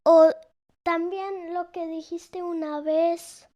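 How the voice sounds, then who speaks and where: A woman's voice: extremely high pitch, steady tone, slow pace, dark tone, lo-fi sound. A young girl of almost nine being interviewed, answering hesitantly with many fillers while she searches for words. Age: child, almost 9. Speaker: interviewee, the host's daughter. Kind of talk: conversational interview.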